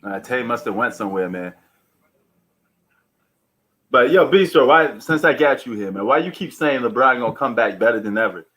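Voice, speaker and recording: A man's voice: mid-range voice, animated, normal speed, long pauses, a bit bright. Slangy, bantering man hosting a sports stream. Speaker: livestream sports host. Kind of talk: casual live sports chat and commentary.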